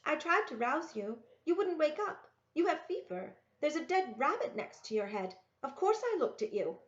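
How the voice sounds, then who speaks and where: A woman's voice: sits high, sing-song, moderate pace, dull audio, voice pushed up. A woman voicing a curious, plucky young country girl who asks direct questions in a storybook. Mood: defensive.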